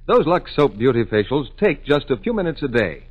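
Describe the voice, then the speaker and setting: An American man reads scripted radio ads as a 1940s announcer. His voice is husky and very varied in pitch, with polished emphasis. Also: very animated, fairly quick, dull audio.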